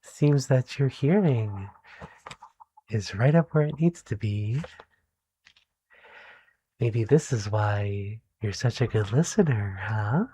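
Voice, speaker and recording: A soft-spoken performer playing a gentle, kindly animal doctor in an ASMR roleplay, speaking soothingly and encouragingly with deliberate pauses. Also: medium pitch, animated, slightly rough.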